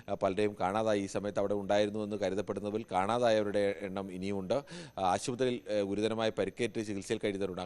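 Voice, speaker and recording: Male news correspondent. Rapid, level delivery, explanatory and often rephrasing. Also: on the low side, a little mellow, clear, resonant tone. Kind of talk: unscripted live news analysis.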